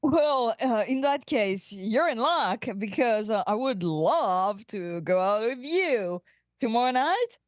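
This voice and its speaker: A woman's voice: on the high side, lively intonation, slow pace, no room sound, clean studio sound. A woman voicing an awkward, eager young male ensign who stammers while trying to act cool, in a sci-fi comedy table read. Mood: cocky. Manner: stammering, flustered.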